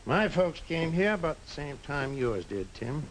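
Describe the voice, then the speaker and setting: An actor playing a sober, principled small-town man in a 1950s film drama, speaking earnestly and deliberately on an old soundtrack. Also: on the high side, sing-song, slight background noise, low-quality recording. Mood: grave, earnest.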